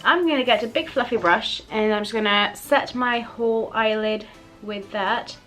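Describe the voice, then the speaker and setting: A young woman with a slightly croaky voice after the flu, chatting casually through a makeup tutorial and often correcting herself. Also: fairly high pitch, normal speed, pushed and bright, faint music behind. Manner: chatty, self-correcting, giggly asides. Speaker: beauty vlogger.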